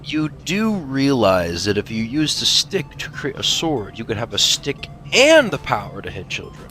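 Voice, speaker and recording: Man co-hosting a fan Q&A: bright, forceful tone, animated and teasing, soft music underneath. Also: medium pitch, normal speed. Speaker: question reader and co-host. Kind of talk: playful question reading in a fan Q&A. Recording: faint music behind the voice.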